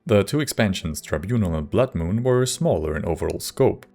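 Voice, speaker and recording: A man with a low voice narrates a scripted video essay as its host. Music plays clearly under his voice. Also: expressive, moderate pace, dark tone.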